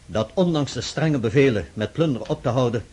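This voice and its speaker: An actor playing a formal, dutiful army officer who reads out a report deliberately in a radio drama. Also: male voice, medium pitch, lively intonation, a little mellow, slight background noise, natural voice. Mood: formal, grave. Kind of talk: radio drama acting, reading aloud.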